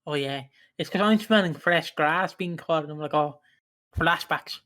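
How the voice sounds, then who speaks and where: A man's voice: a little high, moderate pace, sharp tone. A man co-hosting a casual podcast, blunt and heated, swearing freely. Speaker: podcast co-host.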